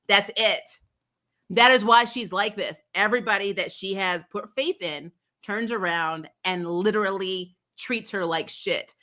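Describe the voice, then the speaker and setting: Blunt, bright-voiced woman hosting a recap. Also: a little high. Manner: blunt, emphatic, freely swearing. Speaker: host of a TV recap show. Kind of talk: opinionated casual chat reviewing TV episodes.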